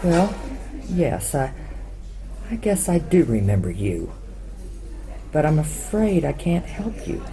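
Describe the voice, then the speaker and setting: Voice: mid-range voice, sing-song, slow pace, pauses often, noisy background. An actor playing a polite but officious restaurant host in a radio play, formal and guarded. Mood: reluctant. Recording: busy crowd chatter behind.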